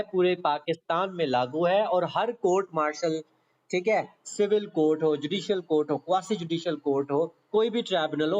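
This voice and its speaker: A man with a Pakistani accent teaching law in a conversational exam-prep style, explaining step by step with repetition and frequent check-in tags. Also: a little high. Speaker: law lecturer. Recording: dull, lo-fi lecture audio.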